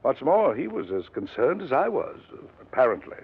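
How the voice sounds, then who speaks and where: A man's voice: medium pitch, sing-song, moderate pace, breathy edge, heavily acted. An actor playing a fussy, self-important middle-aged businessman in a 1950s radio drama, explaining himself hesitantly and evasively. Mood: insinuating, fussy. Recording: dull vintage radio recording.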